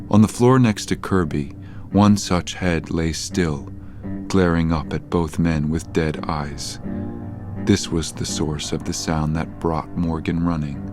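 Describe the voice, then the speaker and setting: Very deep-voiced male drama narrator. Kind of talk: scripted audio drama narration.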